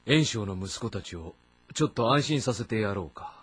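A man playing a calculating, confident warlord of ancient China in a game drama, with a husky voice. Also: on the low side, lively intonation, moderate pace, a bit bright, exaggerated voice. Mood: scheming. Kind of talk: dramatic audio-novel acting in a video game.

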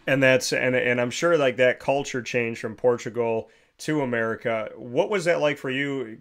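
A chatty man in his thirties hosts an interview from a small home office, talking into a studio mic. His voice is smooth and resonant, and his questions ramble with many restarts. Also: mid-range voice, a bit bright. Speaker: show host. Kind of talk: casual video-call interview. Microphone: studio mic with headphones.

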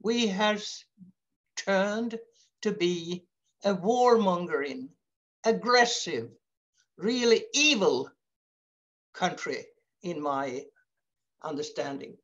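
Older Swedish woman speaking slowly on a headset. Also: lowish voice, lively intonation, muffled recording. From Sweden. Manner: slow, emphatic, wandering phrasing. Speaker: webinar speaker. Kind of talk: unscripted talk on video call. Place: home room, indoors. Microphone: headset on video call.

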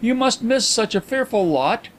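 Man acting an excitable, high-voiced, touchy gentleman visitor. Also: normal speed. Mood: sympathetic, amazed. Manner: stiff, emphatic, exclamatory. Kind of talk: audiobook character acting.

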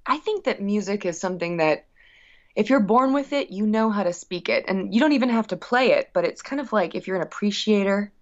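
A woman's voice: medium pitch, animated, brisk. Woman musician chatting, slightly dull audio. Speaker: musician guest being interviewed. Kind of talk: casual conversational interview.

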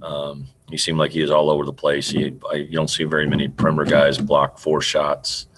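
A middle-aged man, a basketball coach, answering press questions over a video call, halting and trailing off quietly as he restarts sentences. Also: a bass voice, monotone, slightly rough. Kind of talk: postgame press conference answers. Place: indoor room in front of a team backdrop. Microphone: video call computer mic.

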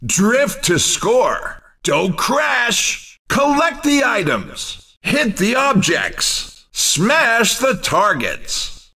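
A man's voice: high voice, pushed and bright, distant mic, full character voice. A man playing an exuberant, upbeat race commentator in a video game, with punchy, theatrical, emphatic delivery. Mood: urgent instructing. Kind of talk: excited arcade racing game announcing.

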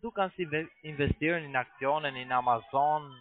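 Through a narrow, tinny phone connection comes a fairly high-voiced man with a foreign accent. He is a non-native speaker giving a sales pitch, halting often and starting his broken sentences over. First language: not German. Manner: halting, broken German with repeated restarts. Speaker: phone sales agent, investment scam caller.

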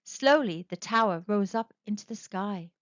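A woman narrating a children's storybook aloud with expressive, animated storytelling. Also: mid-range voice, normal speed, no echo. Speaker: storybook reader. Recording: dry, dull lo-fi sound.

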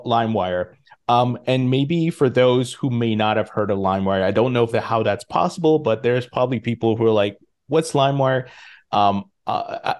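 A man's voice: fairly low pitch, darkish tone. A man hosting a podcast interview, enthusiastic and friendly, speaking over a video call from a home studio. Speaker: podcast host.

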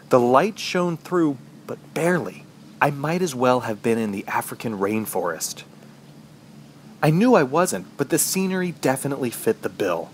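Horror radio play: male actor as injured, dazed narrator, mid-pitched, halting and musing, very animated intonation. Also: close to an everyday voice. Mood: dazed, grim. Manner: halting, musing, talking to himself. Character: dazed, injured man trapped in woods, narrating. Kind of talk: first-person radio horror drama narration.

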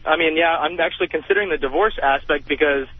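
A man's voice: a little high, fast talker, breathy edge. A man calling a radio show, chatting in a casual, slangy, informal way over the phone. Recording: tinny phone line on radio.

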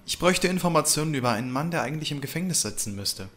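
A man's voice: fairly high pitch, expressive, racing, a bit bright, slight background noise. A man playing a polite, persistent investigator in an audio drama. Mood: businesslike. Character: male investigator, polite, persistent, street-smart. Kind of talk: audio drama acting in German.

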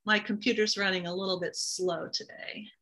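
Bright-voiced woman hosting a call. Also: medium pitch, steady tone, moderate pace. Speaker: webinar host. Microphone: video call.

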